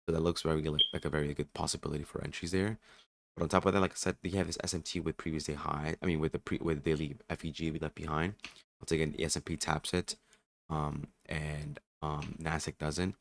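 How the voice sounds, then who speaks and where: A man who is a bit sick and raspy, casually thinking aloud through a trading session with many fillers and restarts. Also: extremely low pitch, flat delivery, fairly quick, fairly bright tone, slight background noise. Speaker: day trader streaming his session. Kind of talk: casual market commentary.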